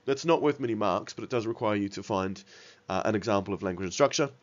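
A man's voice: fairly low pitch, quick pace, lo-fi sound. A man with a cold and a somewhat nasal voice, giving an exam revision lesson over slides, with many ums and uhs. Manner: many fillers like um and uh, restarts. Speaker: teacher.

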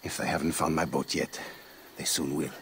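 An actor playing a boastful, embittered harbor master and smuggler in a video game, husky and indignant. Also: male voice, rumbling low, flat delivery, normal speed, a bit bright, in a large hall, a little hiss or hum. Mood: anxious, bitter. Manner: theatrical, indignant. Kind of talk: video game cutscene acting.

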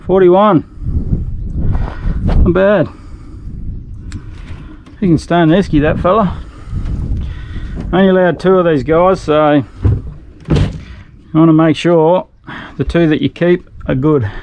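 A man's voice: fairly high pitch, fairly even intonation, takes it slowly, long pauses, background noise. Laid-back Australian man fishing from a boat. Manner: laid-back, chatty, swears casually. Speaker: fishing vlogger. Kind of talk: casual outdoor narration to camera. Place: small boat in a mangrove creek outdoors. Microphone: action camera on the boat.

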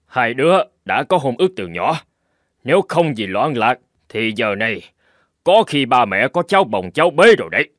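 A man's voice: medium pitch, very animated, normal speed, a bit bright, no room sound, studio quality. An actor playing a stern, stubborn middle-aged village father in a cartoon drama, commanding and emphatic as he scolds. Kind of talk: animated cartoon drama dialogue. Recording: music behind the voice.

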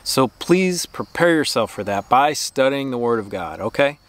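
A man's voice: mid-range voice, very animated, moderate pace. A man in his thirties, host of a homesteading channel, talking earnestly to the camera in his backyard garden with a preachy, exhortative manner and emphatic repetition. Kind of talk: earnest faith-based vlog talk to camera.

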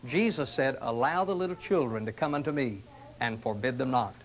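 A middle-aged man, a pastor, speaking in an earnest, gentle, inviting tone in scripted television ads from the 1980s. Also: medium pitch, fairly quick, low-quality recording. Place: studio sets and church rooms, indoors. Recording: 1980s local TV ad audio.

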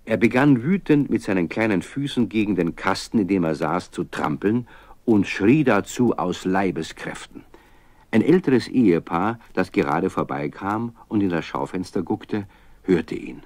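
A man narrating a children's radio play as a calm, measured storyteller. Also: low voice, no echo.

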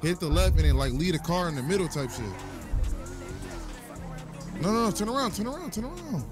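A man's voice: sits high, sing-song, a little fast, music in the background. A man playing a crime role-play game, giving slangy, repeated instructions to his teammates. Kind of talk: gaming chat with teammates.

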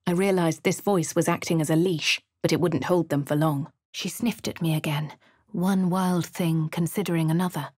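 A woman with a posh English accent giving refined, measured first-person narration for a game character. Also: lowish voice, fairly even intonation, darkish tone, no room sound. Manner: refined, measured, clipped delivery. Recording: dry studio voice-over.

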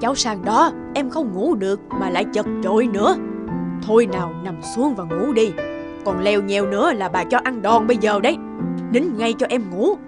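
A woman's voice: medium pitch, expressive, moderate pace, close to an everyday voice. A woman voicing an old grandmother in a cartoon, stern yet doting, given to scolding and exclaiming. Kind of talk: cartoon voice acting. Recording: music behind the voice.

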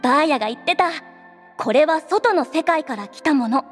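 A woman's voice: sits high, expressive, normal speed. A woman playing an earnest young islander in a Japanese game. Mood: eager. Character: earnest young islander entrusted with family lore. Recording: long reverb, music underneath.

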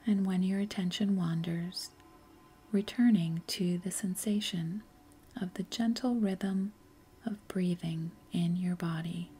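A woman leading a guided meditation in a soft, breathy, gentle and soothing way, with unhurried pauses. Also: fairly low pitch, steady tone. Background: faint music.

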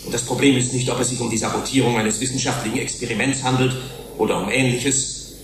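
An actor playing an earnest human rights commissioner pleading before a tribunal in a deliberate, rhetorical, formal way, in a German radio drama. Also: male voice, medium pitch, fairly even intonation, natural voice. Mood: insistent. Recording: roomy hall echo, faint hiss.

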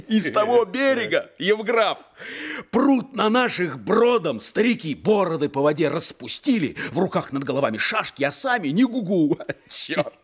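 An actor playing a brash, hearty Red cavalry commander in a Soviet radio play, with a husky voice and colloquial, animated delivery. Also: male voice, high-pitched, a little slow, heavily acted. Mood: boastful storytelling.

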